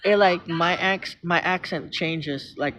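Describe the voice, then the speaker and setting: A woman's voice: lowish voice, steady tone, slow pace, background noise. A middle-aged woman from the Philippines with a Filipino accent, chatting casually on a live stream, rambling through stories and thanking viewers by name. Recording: slightly muffled stream audio with music. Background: background music.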